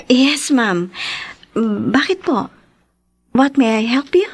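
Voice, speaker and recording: A woman's voice: high-pitched, big pitch swings, takes it slowly, husky, full character voice. A woman with a Filipino accent playing a hurt, guarded daughter in her twenties in a Tagalog radio drama. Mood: curious, polite. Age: 20s.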